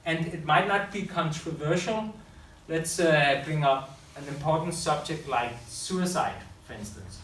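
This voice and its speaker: Bright, forceful man speaking to an audience. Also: on the high side, unhurried. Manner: loose, unscripted, self-correcting. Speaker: public speaker and school teacher. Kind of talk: public talk with audience questions. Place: room with audience. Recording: echoey room from far off, faint hiss.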